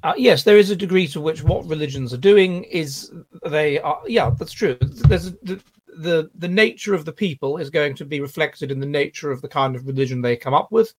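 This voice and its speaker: A man on a livestream answering viewer questions in a halting way, often restarting and repeating words. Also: fairly high pitch, low-quality recording. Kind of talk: casual livestream chat.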